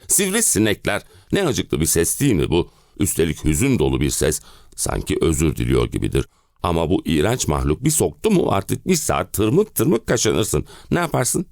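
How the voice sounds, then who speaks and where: A man's voice: deep voice, wildly swooping pitch, normal speed, vocal fry, darkish tone, no room sound, studio quality, full character voice. A male actor playing an exasperated, self-pitying middle-aged husband in a theatrical monologue, with melodramatic, comic complaining. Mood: desperate, agitated. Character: exasperated, hen-pecked middle-aged husband ranting about summer-house misery. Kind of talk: theatrical one-man play monologue.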